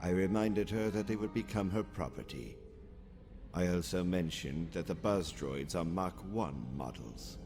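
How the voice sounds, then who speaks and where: A deep-voiced, composed alien naval officer, from a sci-fi audio comic. He speaks formally and precisely, every phrase measured, with music behind him. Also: animated. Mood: composed, explanatory. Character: calm, calculating alien male naval officer. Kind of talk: audio comic drama acting.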